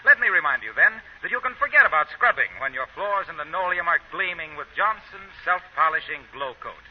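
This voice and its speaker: A man's voice: on the high side, animated, brisk, lo-fi sound. A man reading a 1930s radio advertisement as a polished, salesmanlike announcer. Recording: noisy, band-limited 1930s radio recording.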